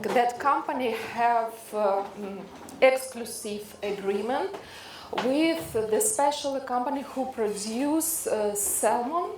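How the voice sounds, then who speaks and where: Middle-aged woman, Ukrainian accent, slightly high voice, halting medical lecture delivery, echoey room. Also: darkish tone. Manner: halting, hesitant non-native phrasing with frequent searching for words. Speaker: dermatologist lecturer. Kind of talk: introductory medical lecture to an audience. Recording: echoey room with faint background noise.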